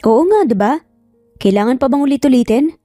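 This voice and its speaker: A woman's voice: sits high, lively intonation, brisk, mellow, no room sound, clean studio sound, faint music behind. A woman voicing a curt, bossy, rich male employer in a romance audio story, commanding and dismissive. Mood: impatient, bossy.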